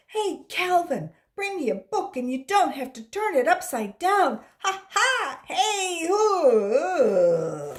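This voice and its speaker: A woman reading a children's storybook voices an earnest, excitable young schoolboy. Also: very high voice, pitched above the performer's own voice, exaggerated voice. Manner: excitable, exclaiming.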